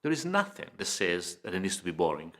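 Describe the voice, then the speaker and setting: A man presenting an instructional lesson in a measured, deliberate way, pausing between phrases and stressing key words. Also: low-pitched, sing-song, pushed and bright. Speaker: presenter of public speaking training video. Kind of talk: informative instructional lecture, scripted delivery.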